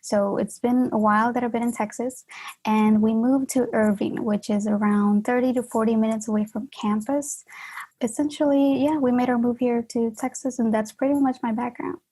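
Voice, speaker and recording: A young woman in her twenties from Honduras, a graduate student answering interview questions in an earnest, measured way. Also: fairly high pitch, fairly even intonation, dark tone, breathy edge, rough recording. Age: 20s.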